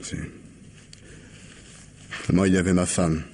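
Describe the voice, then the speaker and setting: A low-voiced husband, weary and full of remorse, confesses in a radio crime play. He speaks haltingly, going back over his words. The old recording has a clear layer of noise. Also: little pitch movement, a little mellow. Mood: shaken, confessing. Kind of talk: French radio crime drama acting.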